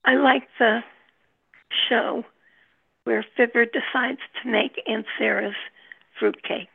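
A woman with a heavily creaky, husky voice chats at a slow, halting pace. She sounds like she is on a narrow phone line. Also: on the high side, steady tone, dry recording. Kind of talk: casual group chat. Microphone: phone or online meeting call.

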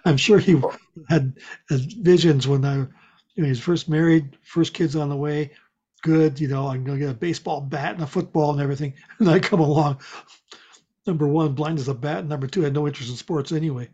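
A man chatting casually and telling personal stories in a wry, unhurried way. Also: on the high side, steady tone, warm tone, breathy edge. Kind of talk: casual chat, personal storytelling. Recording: dull, muffled lo-fi sound.

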